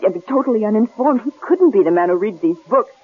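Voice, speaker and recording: Radio drama female lead, actress: scared, suspicious, quick-witted traveler, urgent and guarded, thin lo-fi vintage sound. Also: mid-range voice, expressive, lightly acted. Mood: urgent, afraid. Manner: tense, insistent, guarded. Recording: lo-fi old radio recording, faint hiss.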